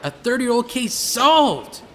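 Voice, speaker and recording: A man playing an eager, excitable police detective in an audio drama. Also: very high-pitched, takes it slowly, a little hiss or hum, heavily acted. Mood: excited, insistent.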